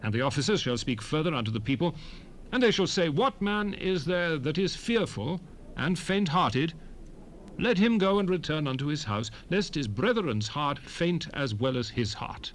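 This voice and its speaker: A man's voice: on the high side, expressive, natural voice. Man acting a stern lawgiver, dramatically rising and falling. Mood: commanding, exhorting. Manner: declamatory, emphatic, measured phrasing. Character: stern, commanding male lawgiver addressing his people. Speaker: scripture narrator in a dramatized Bible recording. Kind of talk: solemn dramatic scripture reading. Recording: 1970s cassette rip, faint background noise.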